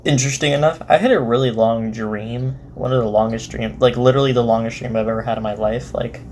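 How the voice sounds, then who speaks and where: A man's voice: mid-range voice, fairly even intonation, quick pace, a little mellow, a little hiss or hum. A man giving casual, off-the-cuff reaction commentary, rambling and repeating his words. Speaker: reaction host.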